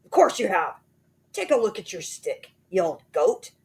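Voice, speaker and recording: A woman's voice: fairly low pitch, sing-song, normal speed. A woman voicing a nasty old man who sneers and taunts his wife. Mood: gloating. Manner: sneering, teasing.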